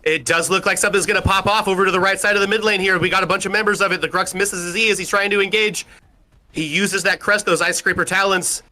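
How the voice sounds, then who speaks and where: A man calling an online match with a loud, powerful voice and rapid, energetic play-by-play. His tone is bright and forceful, and the words pour out quickly. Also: sits high. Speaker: esports caster. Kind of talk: play-by-play commentary.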